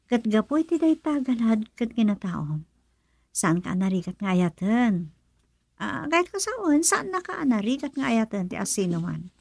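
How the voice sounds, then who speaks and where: An actress playing a devoted young friend who consoles and urges, in an Ilocano Filipino radio drama. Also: female voice, on the high side, very animated, measured pace, vocal fry, darkish tone, husky, exaggerated voice. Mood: tender, consoling.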